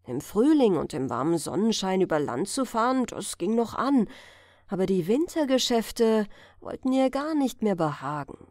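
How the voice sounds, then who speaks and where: A woman narrating a scripted fairy tale as a bedtime audiobook, expressive and carefully phrased. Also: mid-range voice, normal speed, no room sound, studio quality.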